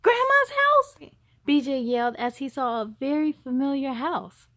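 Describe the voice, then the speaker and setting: A woman reading a children's storybook aloud as a storytime host, with expressive, emphatic delivery. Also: high-pitched, normal speed, no echo, studio quality. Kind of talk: animated children's storybook narration.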